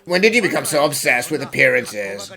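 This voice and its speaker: A man's voice: medium pitch, big pitch swings, brisk, vocal fry, bright, forceful tone, exaggerated voice. A man voicing a blunt, exasperated police inspector in an anime fan dub, scolding and incredulous, with music underneath. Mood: softened.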